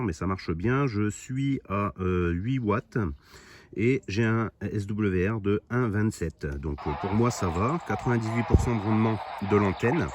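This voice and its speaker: Low-voiced man over clear background noise. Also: a little mellow. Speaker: vlogger presenting radio gear. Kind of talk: casual hands-on gear presentation. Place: outdoors on high ground. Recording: noisy outdoor camera recording.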